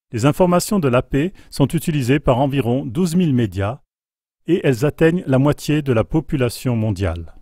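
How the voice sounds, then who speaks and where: A man reading factual news narration as a scripted voice-over, measured and plain. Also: medium pitch, a little mellow, no room sound, clean studio sound. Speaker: news reader.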